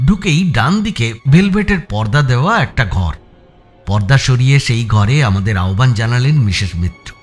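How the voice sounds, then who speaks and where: A man narrating a suspense audio story as its protagonist, in a measured, dramatic storytelling style. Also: medium pitch, lively intonation. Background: background music.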